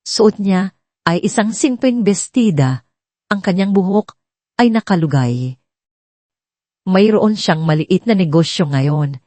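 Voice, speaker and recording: A woman reads a dramatic audio story as its narrator. Her voice is on the low side and somewhat mellow, recorded dry and close. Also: no room sound.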